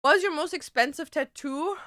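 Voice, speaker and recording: A woman's voice: sits high, fairly quick, a bit bright, dry recording, studio quality. A young woman, a tattoo artist, chatting casually with her stream viewers and answering their questions in a loose, talkative way. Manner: chatty, loose, peppered with "like" and "dude".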